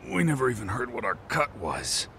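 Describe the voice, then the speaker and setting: An actor playing a crude, hot-tempered low-rank gang thug in a dubbed video game, profane and sneering, with a gravelly voice. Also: male voice, huge pitch swings, normal speed, sharp tone, a little hiss or hum, full character voice. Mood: resigned. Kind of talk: English dub video game cutscene acting.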